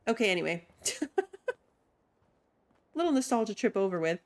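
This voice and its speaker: A woman's voice: mid-range voice, sing-song, moderate pace, darkish tone. A woman streaming a video game, chatting casually and rambling with lots of "like". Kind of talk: casual gameplay commentary.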